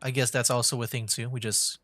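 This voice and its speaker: Man casually thinking aloud, medium pitch. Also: little pitch movement, a little fast, sharp tone. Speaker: game streamer. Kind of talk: casual livestream commentary. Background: game music.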